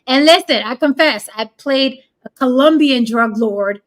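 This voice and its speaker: A woman's voice: high-pitched, a little slow. A woman from Puerto Rico hosting a casual chat, talking bluntly and swearing freely. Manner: blunt, profane, emphatic. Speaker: show host.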